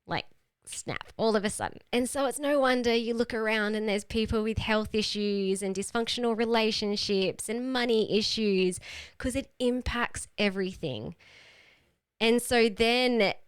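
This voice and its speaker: A woman's voice: fairly high pitch, measured pace, clear, resonant tone, no room sound. A woman leading a live online group call as a health coach, teaching in a casual, explanatory and emphatic way.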